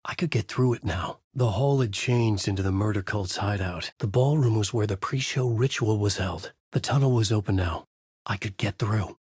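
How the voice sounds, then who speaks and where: Haunted writer hero, brooding noir delivery, husky voice, clipped intense phrases, very dry studio sound, video game. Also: male voice, on the low side, moderate pace, a little mellow, no room sound. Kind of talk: noir scripted narration and dramatic game dialogue.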